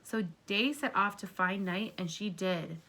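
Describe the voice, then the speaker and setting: A woman with a medium-pitched voice reads a children's book aloud like a teacher. She speaks to young listeners in an encouraging manner and puts simple questions to them. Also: unhurried, bright, forceful tone, low-quality recording.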